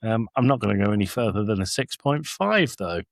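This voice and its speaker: A man's voice: fairly low pitch, expressive, brisk, creaky, no echo, studio quality. A man co-hosting a podcast, giving casual reviews with joking, sweary banter. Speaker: podcast co-host.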